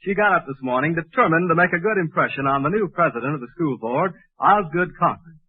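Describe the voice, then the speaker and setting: A very muffled 1940s radio recording of a man announcer. His voice sits somewhat high, and he reads scripted narration in classic old-time radio comedy style. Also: expressive, quick pace, breathy edge.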